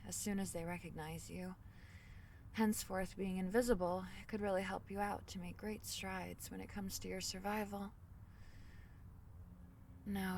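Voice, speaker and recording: A woman voicing a tiny, caring fairy in a soothing ASMR fantasy roleplay, speaking softly in slightly formal storybook phrases. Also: medium pitch, far from the mic, a little hiss or hum, natural voice. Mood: earnest, then wistful. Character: tiny gentle female fairy, caring and protective.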